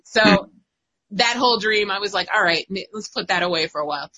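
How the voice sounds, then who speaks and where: Woman, 30s, bright husky voice, giggly and animated, casual guest storyteller. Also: mid-range voice, fairly quick, low-quality recording. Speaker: guest writer. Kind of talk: casual chat.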